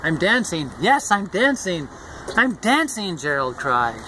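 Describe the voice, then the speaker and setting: A man's voice: high voice, very animated, measured pace. An older man, a grandfather, reads a rhyming children's picture book aloud outdoors. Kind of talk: rhyming storybook read-aloud. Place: outdoors in a park. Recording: outdoor recording with faint traffic noise. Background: faint outdoor and vehicle noise.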